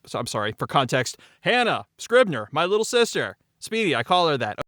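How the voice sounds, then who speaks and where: A man talking casually as a show's creator and audio editor, halting and often repeating phrases. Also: fairly high pitch, big pitch swings, sharp tone, dry recording, studio quality. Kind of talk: casual behind-the-scenes talk.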